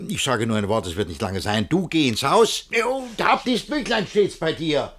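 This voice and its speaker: An actor playing a scheming, possessive middle-aged guardian who feigns friendliness, in theatrical rhymed-verse radio play style with a declamatory manner. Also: male voice, fairly high pitch, very animated, moderate pace, fairly bright tone. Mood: brisk, bossy. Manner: declamatory, mannered, with exclamations and echoed phrases.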